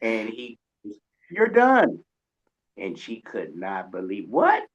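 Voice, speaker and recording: A woman co-hosting an interview with casual, animated storytelling, on a dull lo-fi recording. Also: rumbling low, slow. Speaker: interviewer, co-host. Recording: dull lo-fi sound.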